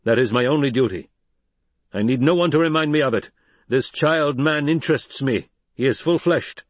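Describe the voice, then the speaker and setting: Guy doing a stern desert tribe boss for an audiobook, formal n commanding, pitched up, really declaims. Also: moderate pace, no room sound, lightly acted. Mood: firm, declaring.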